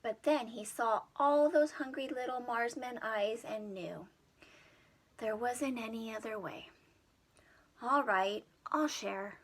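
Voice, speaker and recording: A woman with a high, slightly husky voice reads a children's story. She is very animated and dramatic, taking her time over each phrase. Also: unhurried. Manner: dramatic, with whispered asides to young listeners. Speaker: preschool storyteller. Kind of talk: expressive children's storybook read-aloud.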